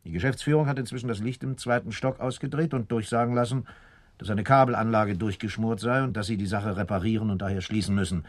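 An actor playing a composed, determined police captain in a radio drama, matter-of-fact and authoritative. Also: male voice, lowish voice, steady tone, fast, natural voice. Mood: urgent, convinced.

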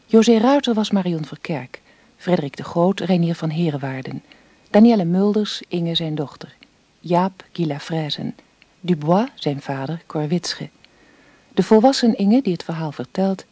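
A woman announcing the closing credits of a radio drama series in an even, measured reading. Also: fairly low pitch, husky, dry recording.

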